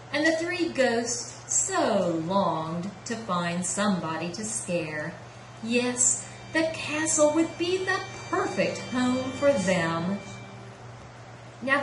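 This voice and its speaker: A woman narrating a children's story as a puppet-show storyteller. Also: medium pitch. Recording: roomy sound with clear background noise.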